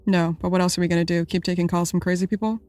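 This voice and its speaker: A woman playing a dry, skeptical podcast producer and sidekick, deadpan and blunt, in a naturalistic audio drama. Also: lowish voice, rapid-fire, close to an everyday voice. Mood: wry, practical.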